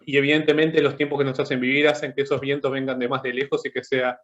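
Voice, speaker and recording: Halting man, flat delivery. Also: mid-range voice, dull audio. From Uruguay. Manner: thoughtful, halting, searching for words. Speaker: artist guest. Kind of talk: panel discussion. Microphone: video call.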